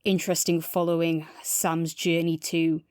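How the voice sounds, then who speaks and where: A woman's voice: on the low side, little pitch movement, measured pace, darkish tone, dry recording. Enthusiastic woman chatting, dry close sound. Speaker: podcast co-host reviewing audio drama. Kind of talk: casual review chat.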